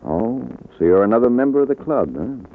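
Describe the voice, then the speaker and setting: Fast-talking wisecracking radio detective. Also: male voice, mid-range voice, very animated. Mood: smooth, probing. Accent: American. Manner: sardonic, slangy. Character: wisecracking, cool-headed male private detective. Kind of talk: 1940s radio detective acting. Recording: tinny band-limited old radio broadcast.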